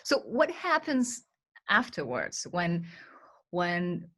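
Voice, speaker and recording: A woman's voice: lowish voice, wildly swooping pitch, takes it slowly, fairly bright tone. A woman interviewing a guest, asking friendly questions.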